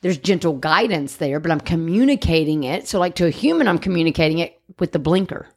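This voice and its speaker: A woman's voice: lowish voice, lively intonation, brisk, darkish tone, slightly rough. A woman, co-hosting a podcast and explaining dog training emphatically, often asking "right?". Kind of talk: casual chat.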